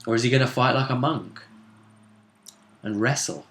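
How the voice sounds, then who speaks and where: A man's voice: medium pitch, moderate pace, creaky, a bit bright. A man hosting a tabletop role-play show, previewing match-ups in a casual, speculative commentary, recorded with a roomy echo.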